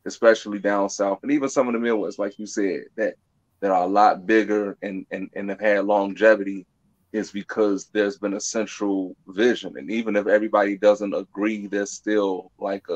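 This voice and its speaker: A young man hosting a video call interview, reflecting thoughtfully and haltingly, repeating words as he searches for them. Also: lowish voice, dull audio. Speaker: host. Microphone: video call with desk mic.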